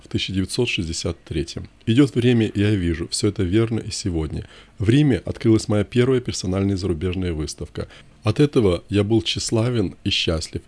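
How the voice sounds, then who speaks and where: A man narrating a scripted first-person memoir in short, measured, declarative sentences. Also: on the low side, a little mellow.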